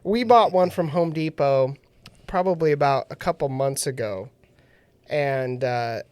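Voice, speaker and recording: A man's voice: fairly high pitch, unhurried, smooth, no room sound. Nasal-sounding man hosting a radio show. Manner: laughs often, animated storytelling. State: nasal from recent illness. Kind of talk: casual chat.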